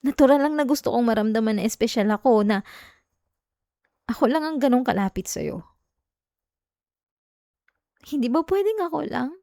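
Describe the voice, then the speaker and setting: A woman's voice: fairly high pitch, lively intonation, moderate pace, vocal fry, pauses often, mellow, no echo, studio quality. A woman acting as a jealous, clingy young girlfriend in an intimate ASMR roleplay, with a Filipino accent. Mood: sulky, defensive.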